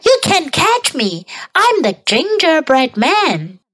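A cocky little gingerbread man from a children's storybook, acted out by a woman. The voice sits high and swings in a taunting singsong. It is gleeful and boastful. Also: wildly swooping pitch, slow pace, fairly bright tone, exaggerated voice. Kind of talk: bilingual children's storybook character voice.

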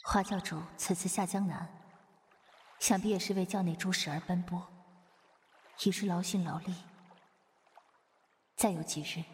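A woman's voice: lowish voice, fairly even intonation, moderate pace, pauses often, slight background noise, lightly acted. A woman playing a shy, earnest, lovestruck young female Taoist in a wuxia audio drama, speaking formally and hesitantly. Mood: inner musing. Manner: flustered, hesitant, formal archaic speech. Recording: long reverb, music behind. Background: music.

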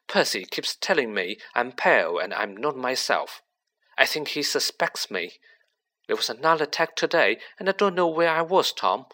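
A man's voice: fairly high pitch, sharp tone, voice pushed up. A man doing a frightened young schoolgirl. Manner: fast, breathless and pleading.

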